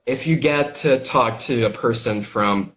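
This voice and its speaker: A young adult man from Brazil with a Brazilian accent, an English teacher talking casually over a video call, halting with lots of fillers and restarts. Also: medium pitch, a bit bright. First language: Portuguese. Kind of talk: casual interview chat.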